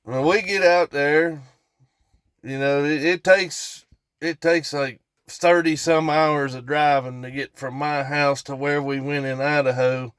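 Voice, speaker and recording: A man's voice: fairly high pitch, fairly even intonation, a little slow, fairly bright tone, clean tone, no room sound, clean studio sound. A man from West Virginia with an Appalachian accent, telling a story casually to viewers in a folksy, rambling way, repeating himself for emphasis. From West Virginia, United States. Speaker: storytelling vlogger.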